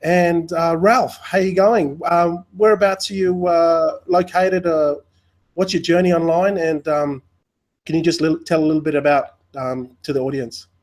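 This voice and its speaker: A man's voice: on the high side, fairly even intonation, a little slow. A man hosting a live webinar, talking loosely with lots of fillers and a friendly manner. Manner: rambling, many fillers, friendly. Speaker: webinar host.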